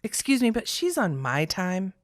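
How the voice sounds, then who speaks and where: Snobbish 1910s school bully played by a woman, condescending, theatrical, wildly varied pitch, very dry close sound. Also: very animated, moderate pace, no echo, lightly acted. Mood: indignant. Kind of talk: period audio drama acting.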